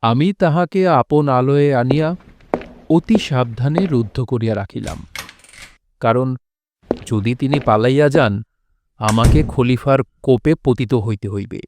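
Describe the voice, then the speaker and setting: An actor playing a courteous, grateful nobleman who recounts his past in formal, measured words in an audio drama. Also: mid-range voice, background noise, close to an everyday voice. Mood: solemn. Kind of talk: audio drama first-person storytelling, formal literary diction. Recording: music behind the voice.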